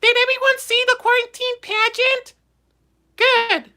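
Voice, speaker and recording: A man voicing a sassy female puppet in a shrill, squeaky falsetto. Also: flat delivery, measured pace, bright, forceful tone, heavily acted. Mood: chirpy.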